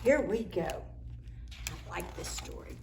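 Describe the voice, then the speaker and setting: A woman's voice: lowish voice, animated, slow, a little hiss or hum. A middle-aged woman librarian reading picture books aloud at a preschool storytime, playful and chatty with the children.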